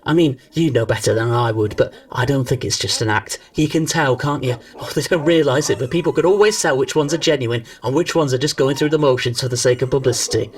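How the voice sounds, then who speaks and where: A man's voice: medium pitch, fairly quick, few pauses, recorded from a distance, lightly acted. A man acting as a chatty, doting, anxious mother in a comic radio play, gossipy and coaxing. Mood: chatty, proud.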